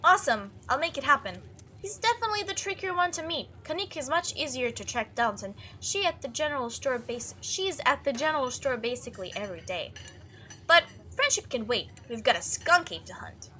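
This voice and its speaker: A woman's voice: high-pitched, big pitch swings, a little fast, bright, forceful tone, exaggerated voice. A woman voicing an upbeat, chatty young monster-hunting video maker in a visual novel read-through. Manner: bubbly, casual, enthusiastic.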